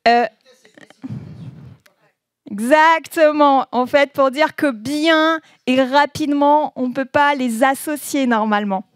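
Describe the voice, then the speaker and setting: A woman giving a relaxed presentation, reading proverbs aloud and explaining them with frequent laughter. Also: high voice, dry recording, clean studio sound. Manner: slow, laughing often, says proverbs then explains them. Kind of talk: informal presentation with translations.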